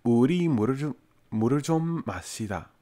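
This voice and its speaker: A young man who is a native English speaker, haltingly repeating phrases as a language learner and often trailing off. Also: mid-range voice, lively intonation, mellow, no echo. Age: young adult. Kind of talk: repeating Korean phrases in self-study. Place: small room at home, at a computer.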